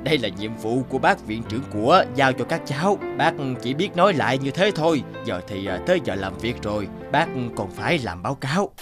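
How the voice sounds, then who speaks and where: A man's voice: mid-range voice, animated, a little fast, few pauses, lightly acted. A man voicing a matter-of-fact older institute official in a cartoon. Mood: dismissive. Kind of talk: dubbed cartoon dialogue. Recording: music behind the voice. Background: music.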